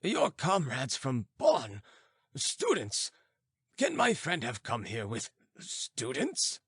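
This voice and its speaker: An indignant old philosopher as an audiobook narrator, a man, plays him, lofty and formal. His pitch is raised and swings widely as he declaims. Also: huge pitch swings, slow, breathy edge, no room sound, clean studio sound, heavily acted. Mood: alarmed, indignant. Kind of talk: audiobook character dialogue.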